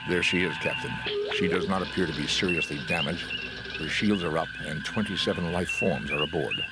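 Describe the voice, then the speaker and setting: An actor playing a calm, logical starship officer in a video game, reporting in a precise, formal manner. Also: male voice, deep voice, brisk, natural voice. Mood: calm, factual. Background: loud music.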